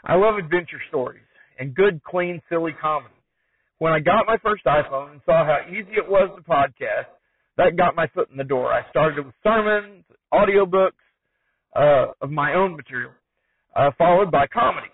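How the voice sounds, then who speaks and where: A man's voice: a little high, normal speed, dry recording. A man hosting a podcast, asking interview questions and talking about his show in a conversational style. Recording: thin phone-like band-limited sound, dry.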